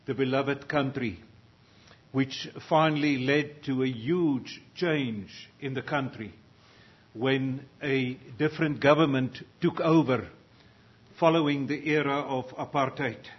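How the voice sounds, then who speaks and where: A man's voice: medium pitch, husky. An older man from Africa, preaching a sermon earnestly and reading scripture aloud. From South Africa. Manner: slow, earnest delivery, rising in volume for readings. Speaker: pastor. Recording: dull lo-fi sound.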